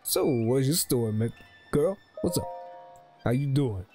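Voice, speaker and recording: A man's voice: mid-range voice, expressive, unhurried. A man giving casual, slangy, playful commentary as he plays a video game. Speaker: gaming host. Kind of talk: casual let's play commentary. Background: game music.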